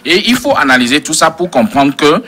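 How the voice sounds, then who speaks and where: A man's voice: fairly high pitch, big pitch swings, a little fast, sharp tone. A man speaking as a panel analyst in a political debate, professorial and long-winded with learned references.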